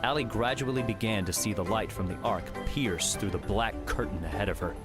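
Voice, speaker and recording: Male narrator, very low voice, measured reading, clear music bed underneath. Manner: measured, steady storytelling. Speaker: audiobook narrator. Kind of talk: scripted narration.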